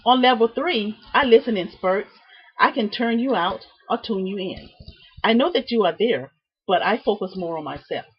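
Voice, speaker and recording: A woman giving a prepared student presentation, reading from notes with deliberate, emphatic phrasing and halting repeats. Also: mid-range voice, lively intonation, slightly rough. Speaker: student presenting a class project. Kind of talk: prepared speech, read from notes. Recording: dull, muffled lo-fi sound.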